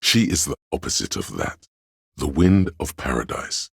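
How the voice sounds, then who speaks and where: An actor playing an erudite, reverent inner voice of knowledge in a video game, narrating in a measured, grandiloquent way with a deep, gravelly voice. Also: male voice, very animated, a bit bright, dry recording, clean studio sound, heavily acted. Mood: reverent, enraptured. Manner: measured, grandiloquent, savoring words. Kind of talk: dramatic scripted narration.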